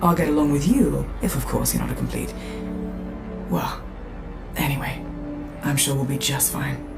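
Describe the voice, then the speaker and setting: A woman's voice: a bass voice, big pitch swings, moderate pace, creaky, echoey room, music in the background, full character voice. A woman voicing a secretive, world-weary female starship AI who speaks in a dry, wry and guarded way. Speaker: ship AI character in a voice pack.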